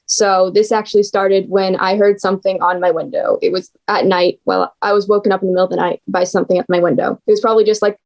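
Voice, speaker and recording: Teenage girl answering interview questions, mid pitch. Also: little pitch movement, fast, few pauses, darkish tone, low-quality recording. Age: teens. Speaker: young scriptwriter being interviewed.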